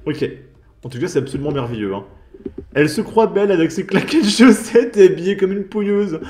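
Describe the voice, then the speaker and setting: A man narrating a commentary over clips, dry and sarcastic, with mocking asides. His voice sits a touch higher than most men's. It is a casual voice-over. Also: animated, brisk, a little mellow, slight background noise. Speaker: commentary channel host.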